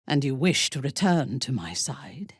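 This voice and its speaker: A woman playing a haughty, ruthless drow warrior in a video game, with a husky, breathy voice and formal, imperious diction. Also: very deep voice, very animated, measured pace, no room sound, clean studio sound, exaggerated voice. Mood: cool, haughty. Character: haughty, ruthless female drow warrior, devout and coldly seductive. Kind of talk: video game character acting.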